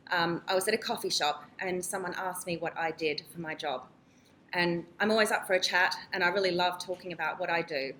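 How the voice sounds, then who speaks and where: A woman's voice: lowish voice, sharp tone, a little hiss or hum. A woman giving a prepared, chatty talk as a nurse introducing and interviewing a panel guest. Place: event room with an audience.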